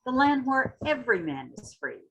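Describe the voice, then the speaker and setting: A woman giving a dramatic, impassioned poetry reading, with emphatic, deliberate phrasing and a husky voice. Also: sits high, very animated, fairly bright tone, lo-fi sound, slight background noise. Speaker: reader at a community literary read-in. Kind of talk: introduction and dramatic poetry recitation.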